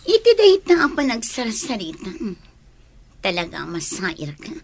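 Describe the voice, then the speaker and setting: An actress with an Ilocano accent playing an excitable, meddling woman in a radio drama, exclamatory and mocking. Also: mid-range voice, moderate pace. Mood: taunting. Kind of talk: Filipino radio drama acting.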